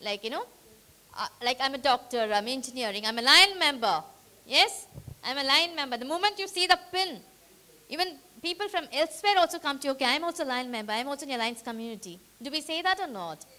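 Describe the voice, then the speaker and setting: Woman trainer, high, crisp voice, energetic and audience-rallying. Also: quick pace. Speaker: workshop trainer. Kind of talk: interactive training session. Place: meeting hall with a live audience.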